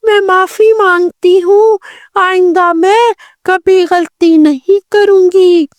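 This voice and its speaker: A woman's voice: very high-pitched, steady tone, slow pace, no room sound, pitched above the performer's own voice. A woman voicing a nervous, guilty female goat in a children's story, trembling and pleading. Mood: remorseful pleading. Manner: anxious, pleading.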